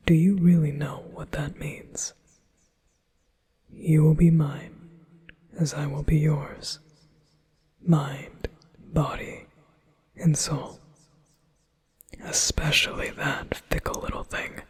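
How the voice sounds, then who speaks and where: Flat, slow, very breathy whispered demon offering a binding contract, possessive and coaxing, voiced by a man. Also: a little high, heavily acted. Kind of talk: ASMR hypnosis roleplay.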